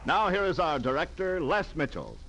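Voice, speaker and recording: A man announcing as a polished, folksy 1940s radio pitchman. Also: huge pitch swings, moderate pace, heavy background noise. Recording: vintage 1940s radio transcription.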